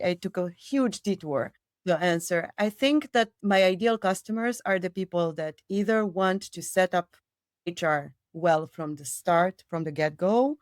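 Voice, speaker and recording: A middle-aged woman from Romania, Romanian her first tongue, speaking in English in a fairly low voice. She answers at length, repeats words for emphasis and drifts into detours. The recording is dry and close, with no room sound. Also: dry recording. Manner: expansive, digressive, emphatic repetition. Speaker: people operations director, guest. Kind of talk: interview answers.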